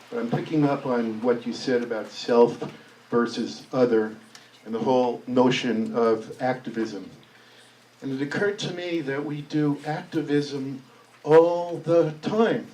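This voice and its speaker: A man's voice: mid-range voice, a little mellow. A man in the audience thinking aloud in a rambling, down-to-earth way. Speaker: audience member. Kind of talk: spoken comment in a public discussion. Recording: distant room mic.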